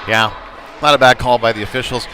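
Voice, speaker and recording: A man's voice: medium pitch, fairly even intonation, brisk, sharp tone, a little hiss or hum, poor audio. A man doing casual, conversational live play-by-play commentary of a youth hockey game from a rink, on a headset. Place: chilly ice rink.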